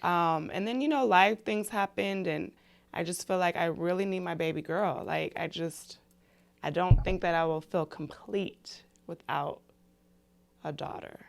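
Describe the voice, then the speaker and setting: Low-pitched woman chatting earnestly. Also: measured pace, creaky. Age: 30s. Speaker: co-host of a couple's family vlog. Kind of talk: casual conversational chat.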